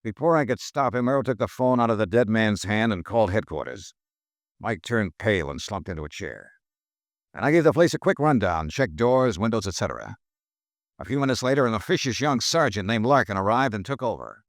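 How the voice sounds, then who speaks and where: An actor playing a sharp male insurance investigator in a 1950s radio drama, narrating in a wry, level manner. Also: on the low side, lively intonation, brisk, dry recording, clean studio sound. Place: studio.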